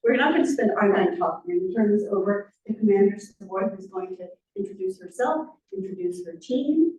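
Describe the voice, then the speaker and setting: A woman's voice: mid-range voice, animated, a little fast, a little mellow, reverberant, far from the mic, a little hiss or hum, poor audio. A woman from a community association welcoming the audience and introducing the speaker at a meeting. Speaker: meeting organizer.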